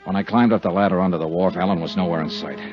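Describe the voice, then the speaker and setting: A man's voice: deep voice, very fast, close to an everyday voice. An American actor playing a tough, world-weary insurance investigator in a 1950s radio drama, telling his story in terse, clipped phrases. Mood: grim. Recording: dull 1950s radio recording with music.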